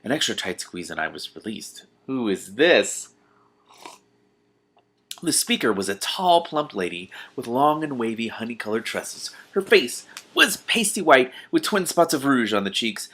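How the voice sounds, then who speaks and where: Expressive, bright-voiced man reading aloud. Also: medium pitch, measured pace, breathy edge. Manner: expressive, breaks off to react in disbelief. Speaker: online reader who comments on books. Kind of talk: reading a novel aloud with exclaimed commentary.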